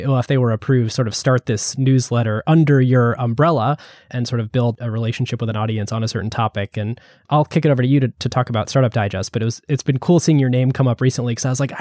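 A man's voice: mid-range voice, fast, darkish tone, no echo, studio quality. A man hosting a casual podcast interview, introducing his guests with upbeat, easy banter. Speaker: podcast host.

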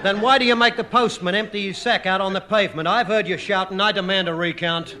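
An actor playing a cheerful, naive, pestering housemate in a 1950s radio sitcom. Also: male voice, high-pitched, fairly even intonation, fast talker, pushed and bright. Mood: teasing. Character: cheerful, nosy, guileless young male housemate. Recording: old 1950s radio archive recording.

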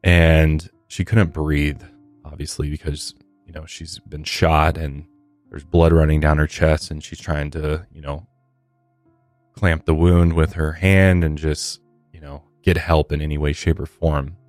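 A man's voice: very deep voice, flat delivery, a little slow, no room sound, soft background music. A man hosting a true crime podcast, narrating in an earnest, sympathetic, conversational way.

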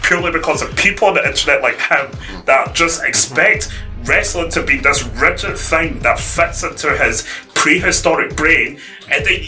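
Very bright-voiced teasing podcast man. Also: fairly high pitch, moderate pace, soft background music. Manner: teasing, ranting. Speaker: podcast co-host. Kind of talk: casual wrestling podcast chat.

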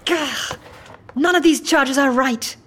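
A fairly high-voiced stranded woman in an audio comedy. She is terse and insistent, her patience worn thin, giving clipped replies. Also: slow pace, fairly bright tone, a little hiss or hum. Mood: exasperated. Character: impatient, stranded woman customer, curt and exasperated. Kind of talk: comedy audio drama acting.

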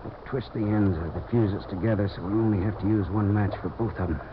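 An actor playing a tough, terse adventurer who gives orders in a 1950s radio drama. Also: male voice, fairly low pitch, steady tone, fairly quick, natural voice. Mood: tense, businesslike. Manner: terse, commanding. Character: tough, commanding male adventurer leading a dangerous job. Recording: muffled, noisy old radio broadcast recording.

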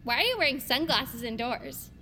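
A woman's voice: high voice, very animated, moderate pace, heavy background noise. A woman playing a ditzy, boy-crazy teenage girl in a fiction podcast, blunt and airheaded, in a reverberant space. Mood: curious, clueless.

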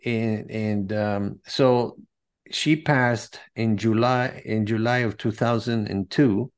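A man, a podcast guest telling his life story in an earnest, halting way as he searches for words. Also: fairly low pitch, fairly even intonation. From Venezuela.

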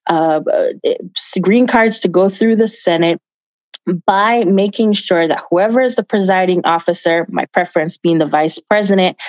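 A woman's voice: on the low side, no echo, poor audio. A woman from Jamaica giving earnest, emphatic answers as an activist guest in an interview, repeating phrases for stress.

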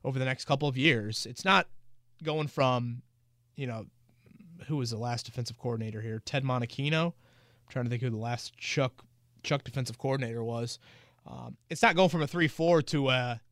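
A man's voice: medium pitch, normal speed, a bit bright, no room sound, studio quality. A man hosting a casual sports podcast, talking in a chatty, rambling way with fillers and restarts. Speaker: podcast host and team reporter.

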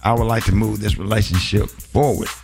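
A man hosting a casual radio morning show, talking in a halting way and repeating his words. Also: fairly low pitch, steady tone, creaky. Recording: music playing behind the voice. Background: hip hop music.

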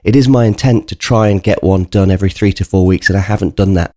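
A man hosting a podcast, his voice low and mellow and thickened by a bunged-up cold. He talks to his listeners in an earnest, chatty way, and the recording is close and dry with no room sound. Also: quick pace, no echo. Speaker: podcast host. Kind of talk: casual spoken podcast introduction.

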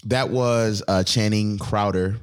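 A man with a deep voice hosting a casual podcast chat, rambling with lots of fillers and restarts. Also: fairly even intonation, slow pace, smooth. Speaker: podcast host.

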